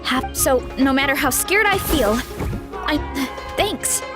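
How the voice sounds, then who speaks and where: An actress voicing an earnest, modest young female warrior in a video game, gentle but determined and sincere. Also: high voice, expressive, slow. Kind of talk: English video game voice acting. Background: game music.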